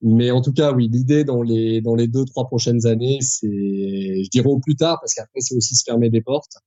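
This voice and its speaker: A man's voice: medium pitch, normal speed, mellow, no echo, lo-fi sound. A man in his forties, a pilot guest on a podcast, explaining at length with frequent hesitations and restarts. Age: 40s. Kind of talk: conversational podcast interview.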